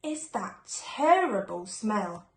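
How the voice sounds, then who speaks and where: A woman's voice: mid-range voice, sing-song, slow, reverberant. A woman voicing a plucky, inquisitive, bookish little girl from a children's storybook. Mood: wary, questioning.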